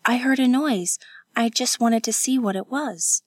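A woman voicing an earnest, gentle young woman in an audiobook, with a soft, pleading manner. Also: a little high, unhurried, no room sound, voice pushed up. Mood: startled, defensive.

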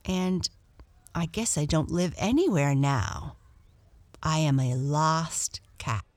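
A woman's voice: low-pitched, animated, takes it slowly, darkish tone, dry recording. A woman voicing a small, timid lost female cat in a storybook, polite, meek and curious. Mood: forlorn.

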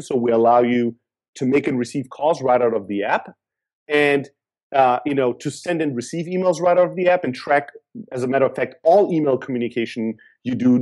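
A man from Greece, raised in Germany, answering interview questions in an energetic, talkative way. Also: medium pitch. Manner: energetic, talkative, with fillers and repeats. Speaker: guest entrepreneur.

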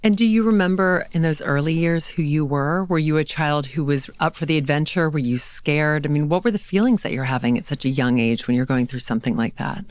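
A woman hosting an interview show, talking with enthusiasm and warmth toward her guest. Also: sits low, a little fast, hardly any pauses, no room sound. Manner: enthusiastic, effusive. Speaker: podcast host and auctioneer. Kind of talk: interview conversation. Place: studio.

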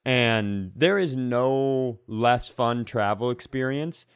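A man's voice: mid-range voice, slow pace, clean tone, dry recording, clean studio sound. A man chatting casually as a comedy podcast host, sarcastic and given to acting out the people he describes. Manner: sarcastic, acts out quoted characters.

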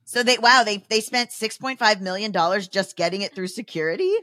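Female comedy co-host, medium pitch, teasing casual banter, very dry recording. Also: a little fast, sharp tone, dry recording.

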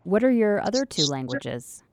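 A woman hosting a radio interview from a studio, asking questions in a calm, measured way. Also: medium pitch, no echo. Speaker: radio show host.

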